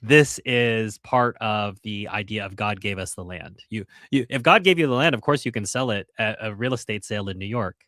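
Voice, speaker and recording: A man's voice: fairly low pitch, moderate pace, few pauses, bright, forceful tone, no room sound, studio quality. Earnest man hosting a livestream. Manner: earnest, with restarts and wry asides. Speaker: host of a livestream. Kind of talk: casual livestream commentary, reading chat.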